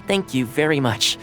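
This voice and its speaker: Friendly young fixer, relaxed explainer, lively delivery, game dub. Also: male voice, a little high. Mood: relieved. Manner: easygoing, explanatory. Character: friendly young male housekeeper, easygoing helper. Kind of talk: video game voice acting. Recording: game dialogue over loud music.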